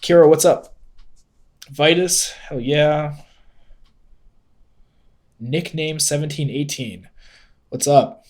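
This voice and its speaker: A man's voice: a little high, slow pace, long pauses, a little mellow. A man with a congested, nasal head-cold voice, casually hosting a live-stream tutorial and greeting chat viewers with plenty of ums. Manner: loose and chatty, with frequent ums and self-corrections.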